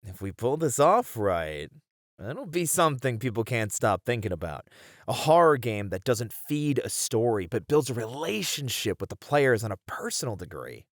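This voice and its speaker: A man's voice: medium pitch, big pitch swings, moderate pace, no echo. A man playing a hesitant but intrigued game developer in a conversational ASMR roleplay, casually thinking aloud on a close mic. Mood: pondering, practical. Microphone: close studio mic.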